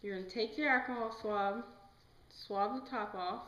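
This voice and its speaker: A young woman playing a calm nurse in an amateur educational puppet show, explaining things plainly and reassuringly. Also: on the high side, normal speed, lightly acted. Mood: instructive. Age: young adult. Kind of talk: amateur scripted educational dialogue. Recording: echoey amateur room recording.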